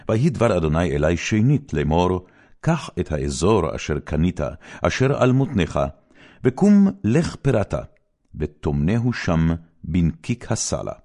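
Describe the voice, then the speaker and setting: A man narrating scripture in a formal, solemn and measured declamatory style with clear phrasing. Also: low-pitched, warm tone. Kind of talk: formal scripted Bible reading.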